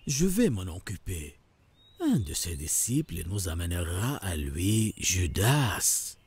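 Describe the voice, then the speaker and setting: An actor voicing a scheming, gloating rabbi in a cartoon, with theatrical, drawn-out delivery. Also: male voice, low voice, very animated, darkish tone, husky, exaggerated voice. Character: scheming gloating villainous rabbi, male plotter. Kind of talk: animated cartoon villain acting.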